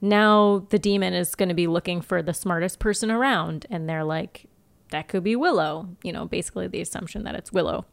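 A woman's voice: lowish voice, brisk, creaky. Casual, wry woman podcast co-host. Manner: informal, wry, sweary storytelling. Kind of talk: casual TV episode recap chat.

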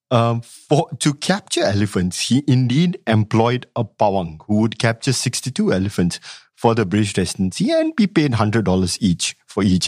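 A man's voice: medium pitch, a little mellow, slightly rough, no room sound, clean studio sound. A man from Singapore, an academic researcher answering interview questions thoughtfully, with frequent restarts. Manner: thoughtful, many restarts and hedging fillers.

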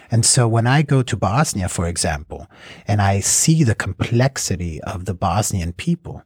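Middle-aged man, slightly husky, lower voice, reflective interview answers. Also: no room sound, clean studio sound. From United States. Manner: thoughtful, reflective, with small restarts. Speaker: cross-cultural mediator.

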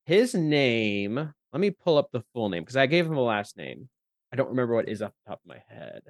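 A man chatting casually as a podcast guest, animated and thinking aloud as he goes. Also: mid-range voice, a little fast, no echo, studio quality. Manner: animated, thinks aloud about character voices. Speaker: podcast guest, tabletop role-player. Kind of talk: casual chat.

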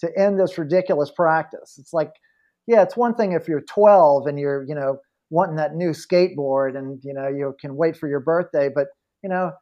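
High-voiced male podcast host, slightly dull sound. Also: moderate pace. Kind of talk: casual podcast chat.

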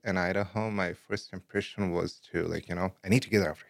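A young man from Afghanistan, a guest in a casual interview, talking in a relaxed way with many "like, you know" fillers and easy laughs. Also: sits low, fast, vocal fry, no room sound, studio quality.

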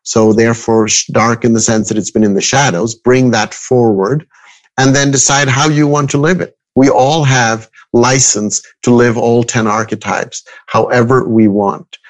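A man in his fifties from Switzerland speaking as an expert guest in an interview, reflective and halting, repeating phrases for emphasis. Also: medium pitch, steady tone, poor audio. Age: 50s. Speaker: guest expert, astrologer and coach. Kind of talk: interview conversation.

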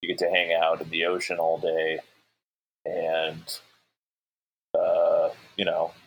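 A man musing casually among friends in a halting, low-key manner. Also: completely flat delivery, slightly rough, muffled recording.

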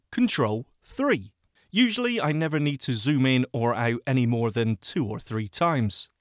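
Lively, chatty male tutorial host. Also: a little high, no echo, clean studio sound. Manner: chatty, self-mocking, animated asides. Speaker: podcast host teaching audio editing. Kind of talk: screen-reader software tutorial.

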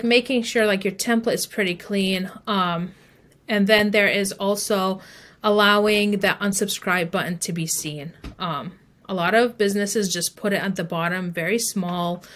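A woman's voice: mid-range voice, fairly bright tone. Woman explaining informally, step by step. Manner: informal, explains step by step, often says "like" and "you know". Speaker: guest email marketing expert. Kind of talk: conversational interview explanation.

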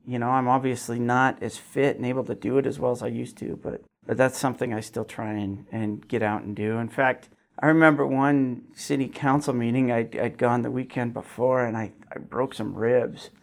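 A man's voice: medium pitch, fairly even intonation, moderate pace, vocal fry. A man in his forties from Wyoming, answering interview questions as a city council member in an earnest, modest, conversational way. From Wyoming, United States. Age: 40s.

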